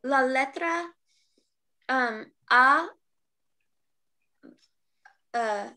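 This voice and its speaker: A female beginner student on a video call, unsure of herself and filling her pauses. Her voice sits high and she speaks very slowly. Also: pushed and bright. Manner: hesitant, filler-heavy, unsure.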